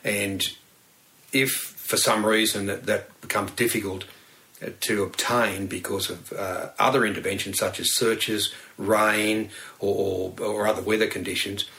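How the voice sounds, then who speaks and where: An Australian man with a fairly low voice speaks as a former detective being interviewed. He explains things off the cuff, in a halting, roundabout way with plenty of hedging. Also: level tone, gravelly, sharp tone. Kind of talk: unscripted expert interview.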